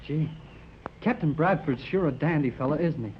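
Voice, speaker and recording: A young-sounding actor playing an eager, earnest, boyish amateur radio operator in a 1930s radio serial. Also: male voice, fairly high pitch, normal speed, natural voice. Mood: boyish, bashful. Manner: excitable, earnest, gee-whiz. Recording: dull, noisy 1930s radio recording.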